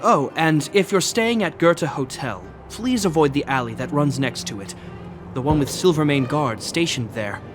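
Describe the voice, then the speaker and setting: An actor playing a polite, dutiful young military officer in a video game, earnest and formally courteous. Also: male voice, fairly high pitch, animated, moderate pace, over music, lightly acted.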